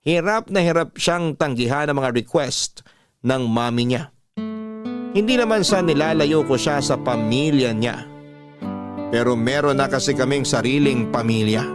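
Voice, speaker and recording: A man's voice: medium pitch, unhurried, music in the background. A man with a Filipino accent narrating a love story as a radio storyteller, reading dramatically from a script.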